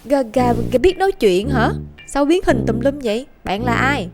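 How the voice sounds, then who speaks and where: Sulky, high-voiced southern Vietnamese schoolboy. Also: female voice, animated, moderate pace. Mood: startled, demanding. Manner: grumbling, exclamatory. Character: sulky, game-obsessed schoolboy, skeptical and whiny. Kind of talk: animated cartoon dialogue. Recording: music clearly behind the voice.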